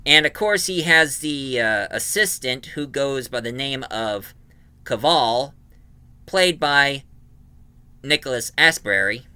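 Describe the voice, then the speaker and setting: A man giving an informal, emphatic review of an audio drama, recapping it in a halting way. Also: mid-range voice, expressive, bright, forceful tone, clear, resonant tone, dry recording.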